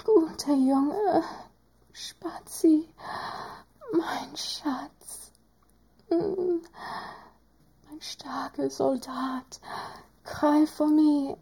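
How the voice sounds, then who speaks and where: A woman voicing a teasing, doting woman doctor in an adult audio roleplay, speaking in a slow, whispery, drawn-out way. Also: high-pitched, creaky, warm tone, heavily acted. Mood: sultry teasing.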